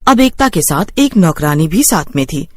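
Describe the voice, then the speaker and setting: A woman's voice: low-pitched, sing-song, moderate pace, no echo. A woman reading scripted horror story narration as a storyteller.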